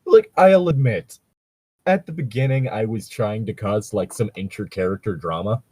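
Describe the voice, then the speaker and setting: A man co-hosting a casual podcast, riffing and joking in a profane, giggly, rambling way with a husky voice. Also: lowish voice, moderate pace, darkish tone. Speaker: co-host of a podcast.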